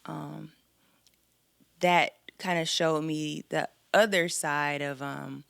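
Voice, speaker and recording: A woman singer, a guest on a podcast, talking in a soft, thoughtful and reflective way with a light, sweet voice. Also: deep voice, fairly even intonation, slow pace, vocal fry, smooth, no room sound. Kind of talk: casual podcast chat.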